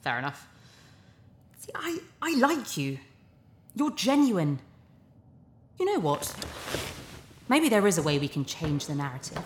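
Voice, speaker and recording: Cynical, worldly TV presenter, sci-fi audio drama. Breezy persuader with swooping intonation, echoey room. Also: female voice, fairly low pitch, sing-song, measured pace, long pauses, a little hiss or hum. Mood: coaxing, persuasive. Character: glib, cynical, charming female TV propaganda presenter. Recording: roomy, echoing sound.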